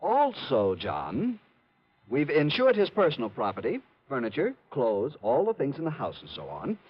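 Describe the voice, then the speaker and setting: A man's voice: medium pitch, huge pitch swings, normal speed, husky. An actor playing a fussy worrywart American insurance man with a hesitant, pedantic manner in a 1950s radio drama. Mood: fussy, precise. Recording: dull vintage radio recording.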